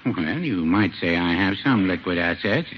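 An actor playing a mild, cautious older small-town druggist, folksy and dry, in a 1950s radio comedy. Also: male voice, sits low, moderate pace. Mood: deadpan. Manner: folksy, deadpan, hesitant. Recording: noisy, dull 1950s radio recording.

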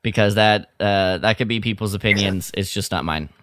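A man's voice: fairly low pitch, normal speed, fairly bright tone, no echo, clean studio sound. A man co-hosting a podcast, talking casually with the others. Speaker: podcast co-host.

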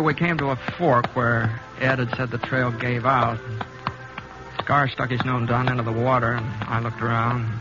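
An actor playing a laconic, steady Old West drifter who tells his tale in a folksy, understated way in 1950s radio drama style. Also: medium pitch, close to an everyday voice. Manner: folksy, understated, plain-spoken. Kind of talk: 1950s Western radio drama acting and first-person narration. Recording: muffled old 1950s radio recording, music behind.

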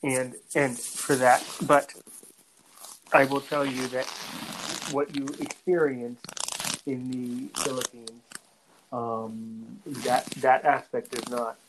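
A man from North America hosting a podcast interview, speaking in a halting way and often repeating words before finishing a thought. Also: mid-range voice, level tone, noisy background. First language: English; French is his second language. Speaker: podcast host. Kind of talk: conversational interview.

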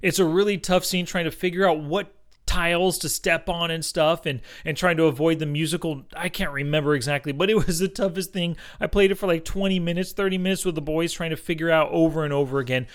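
A man's voice: on the high side, steady tone, quick pace. A man hosting a casual movie podcast, talking in a chatty, slangy, enthusiastic way. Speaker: podcast host.

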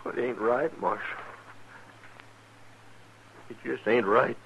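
A man's voice: medium pitch, steady tone, slow pace, vocal fry. A man acting a plain-spoken, stubbornly loyal frontier fellow in a rustic, emphatic style for a 1950s radio western. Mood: anguished, disbelieving. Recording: muffled old radio recording, faint noise.

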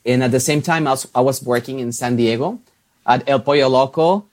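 Upbeat man, chatty and quick to laugh. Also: medium pitch, a little mellow. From Mexico. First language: Spanish. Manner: chatty, upbeat, laughs often, many restarts and fillers. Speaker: TV and radio host, guest. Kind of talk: interview answers.

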